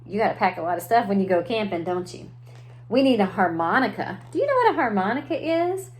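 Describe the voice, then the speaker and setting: A woman's voice: mid-range voice, sing-song, fast talker, a little mellow. A woman preschool teacher reading a story aloud to young children, adding simple explanations and questions. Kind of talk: children's read-aloud with asides.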